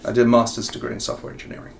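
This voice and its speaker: A middle-aged man with a southern English accent, answering interview questions in a rambling way with many ums and self-corrections. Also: rapid-fire. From England. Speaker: professor and executive guest. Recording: faint ambient noise.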